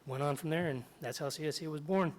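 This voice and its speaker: A middle-aged man, slightly hoarse after jaw surgery, reading prepared remarks at a podium in a large meeting hall and stopping often to clear his throat. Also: fairly high pitch, quick pace. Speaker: union chapter president. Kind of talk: public comment at a board meeting. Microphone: podium microphone.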